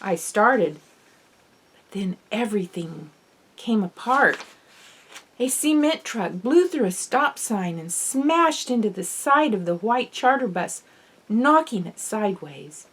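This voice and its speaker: A woman reads a first-person children's story as its author. Her voice sits at a medium pitch. The delivery is that of an audiobook narrator, expressive and dramatic in the telling. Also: slow pace, breathy edge. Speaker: author reading own novel.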